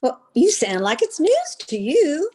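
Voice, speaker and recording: A woman's voice: sits high, sing-song, unhurried, darkish tone, slight background noise. An actress as a flirtatious society lady in a vintage radio comedy. Her voice swoops expressively as she coaxes, gushes and pushes coyly to get her way. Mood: insistent, wheedling. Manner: coaxing, insistent, gushing. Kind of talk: old-time radio comedy acting.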